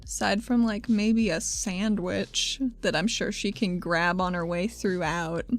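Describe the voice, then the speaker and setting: A woman's voice: lowish voice, fairly even intonation, measured pace, faint music behind. A woman chatting casually as a player in a tabletop roleplaying game, playful, informal and sweary. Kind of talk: casual improvised game chat.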